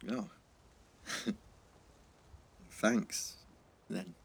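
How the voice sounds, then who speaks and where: A man playing a sensible, gentle teenage schoolboy, hesitant and understated, in a naturalistic audio drama. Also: extremely slow. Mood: shy, amused.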